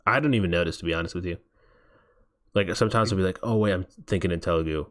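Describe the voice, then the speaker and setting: A man's voice: low voice, fast talker, a bit bright. A man hosting a movie watch party stream, chatting casually and reading viewer messages aloud. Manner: rambling, reads viewer chat aloud.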